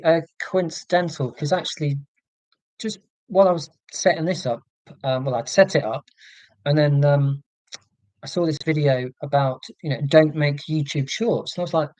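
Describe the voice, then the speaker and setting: A man live streaming from home and reading chat comments aloud, talking in a rambling, halting way with many ums and restarts and a voice he calls monotonous. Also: a little high, dry recording, rough recording. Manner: self-described monotonous voice.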